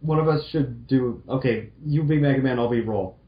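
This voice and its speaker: A man's voice: medium pitch, normal speed. A man chatting casually as a co-reader, muttering asides and swearing now and then. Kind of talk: casual chat. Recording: roomy, dull lo-fi mic.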